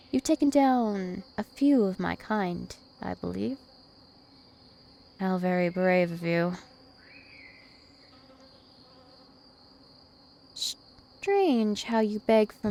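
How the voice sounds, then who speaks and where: A woman's voice: medium pitch, vocal fry, a little mellow, slight background noise. A woman voicing a dominant, taunting, ancient vampire princess in an ASMR roleplay, with haughty, teasing menace. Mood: mocking amusement. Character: two-thousand-year-old vampire princess, female, dominant, taunting, imperious.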